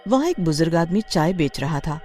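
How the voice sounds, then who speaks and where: Female narrator for horror tales, mellow and animated, music underneath. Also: deep voice, a little fast. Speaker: story narrator. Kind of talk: scripted Hindi horror storytelling.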